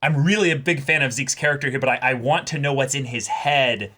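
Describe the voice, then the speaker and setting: Bright-voiced man in casual chat. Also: medium pitch, brisk. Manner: animated, rambling, acts out imagined character lines. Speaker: reaction show co-host. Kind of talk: casual reaction chat about an anime episode.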